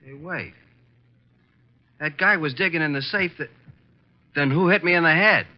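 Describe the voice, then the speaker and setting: An actor playing a wisecracking, hard-boiled detective's assistant in an old radio mystery, dry, clipped and wry, narrating in the first person. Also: male voice, on the high side, moderate pace, natural voice. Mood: sardonic, irked. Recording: dull vintage radio recording with music.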